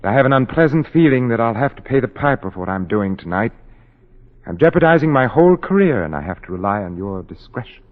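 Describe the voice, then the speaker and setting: An actor playing a grave, guarded lawyer with a troubled conscience, speaking earnestly and formally in a 1940s radio drama. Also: male voice, medium pitch, very animated, fast, natural voice. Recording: dull 1940s radio recording.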